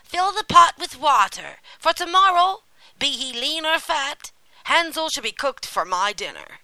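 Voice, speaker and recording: A woman's voice: high voice, big pitch swings, unhurried, bright, forceful tone, no echo, pitched above the performer's own voice, full character voice, low-quality recording. A woman voicing a cruel, greedy old witch from a storybook, with a scolding, menacing manner. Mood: commanding. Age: older. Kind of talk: storybook character acting.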